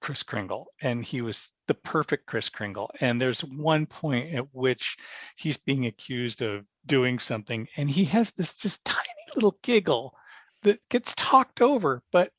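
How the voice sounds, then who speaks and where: A man talking casually as an interview guest, explaining through anecdotes, often with halting starts. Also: medium pitch, lively intonation, fairly bright tone, dry recording, lo-fi sound. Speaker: podcast guest, stage actor and director.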